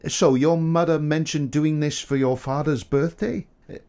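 An actor playing a polite, patient, slightly flustered photographer in an audio drama, hesitant and conciliatory. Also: male voice, on the high side, steady tone, moderate pace, darkish tone, lightly acted. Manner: hesitant, conciliatory, with nervous chuckles.

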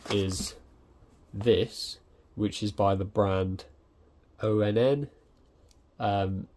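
A man's voice: lowish voice, a little mellow, slight background noise. A young man talking casually through an unboxing at his desk, hesitant with many ums and restarts. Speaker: tech reviewer. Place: indoors at a desk.

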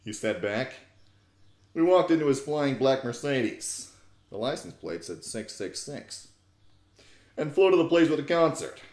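Narrator: man, twenties, mid-pitched, theatrical and mock-serious storytelling, recorded at home with audible room. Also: animated, unhurried, pauses often, a little mellow, roomy sound. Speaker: narrator, YouTube host. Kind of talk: theatrical comic fan fiction reading. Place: small living room, indoors. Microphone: desktop USB microphone close by.

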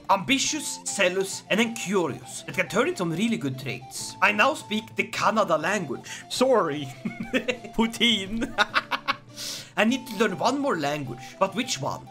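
A bright, lively voice commentates on a video game in a playful, jokey way. The pitch moves around a lot, with exclamations in reaction to the play. Music plays behind the voice. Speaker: gaming YouTuber commentator. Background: background music.

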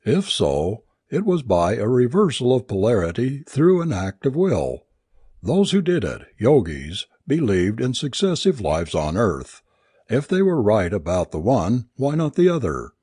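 A learned male doctor read by a narrator expounds formally in an audiobook story. The voice is animated and rhetorical, with a slightly husky tone and a dry sound. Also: medium pitch, measured pace, no room sound. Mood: expounding.

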